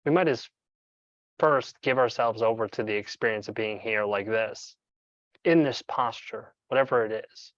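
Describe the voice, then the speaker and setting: A man speaking as a meditation coach, narrating a guided session in a measured, instructional way with deliberate pauses. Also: on the low side, a bit bright, no echo, lo-fi sound.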